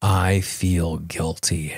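A man hosting a self-help podcast, coaching listeners directly in measured, deliberate phrases. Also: sits low, little pitch movement, slightly rough, dry recording, clean studio sound. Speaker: podcast host and tapping coach.

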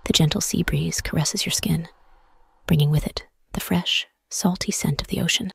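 Woman, very breathy and husky, low-pitched, soothing guided meditation, measured phrases, close dry sound. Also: fairly even intonation, no echo. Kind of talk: guided meditation, soft scripted narration.

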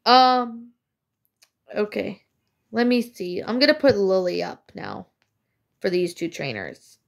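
A woman's voice: mid-range voice, animated, slow pace, creaky. A woman casually commenting on her own gameplay, chatty and rambling with many fillers, slightly congested from a cold. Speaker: let's play gamer.

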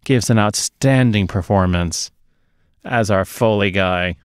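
A man's voice: lowish voice, slow pace, smooth, no echo. Man with a close, dry studio voice. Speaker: movie podcast co-host. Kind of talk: casual film review chat. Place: small dark home studio. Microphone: close podcast microphone on a boom arm.

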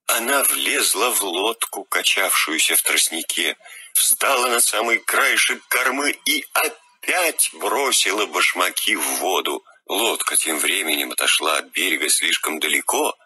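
A man narrating a children's fairy tale in a husky, expressive storytelling voice. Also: on the low side, no echo.

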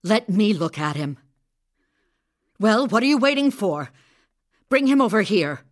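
An actress playing a grieving village widow who becomes a commanding healer, in an audio drama. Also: female voice, mid-range voice, big pitch swings, unhurried. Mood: urgent, commanding.

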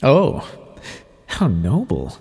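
A man playing an aloof, teasing vampire scientist in an ASMR roleplay, speaking wryly and formally with a gravelly voice. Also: medium pitch, wildly swooping pitch, extremely slow, darkish tone, long reverb tail, heavily acted. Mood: wry concern. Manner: wry, condescending, formal wording with pet names. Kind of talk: ASMR roleplay, scripted intimate monologue to the listener.

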